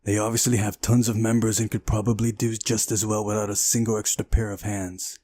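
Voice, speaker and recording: A man's voice: on the low side, level tone, fairly quick, warm tone, no room sound. A man reading a visual novel aloud during a playthrough, narrating the story and adding casual asides of his own.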